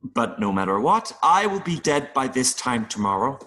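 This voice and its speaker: A man playing an adult male mayfly in an audio play, nervous and earnest, pleading haltingly with little buzzing sounds. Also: mid-range voice, very animated, bright, forceful tone. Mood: resigned, earnest. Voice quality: buzzing interjections between phrases.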